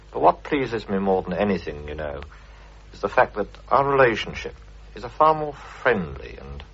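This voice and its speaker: A man's voice: extremely low pitch, a bit bright, slight background noise. A British man giving a prepared, urbane radio reminiscence full of anecdote. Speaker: guest contributor, theatre producer. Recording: dull old radio recording.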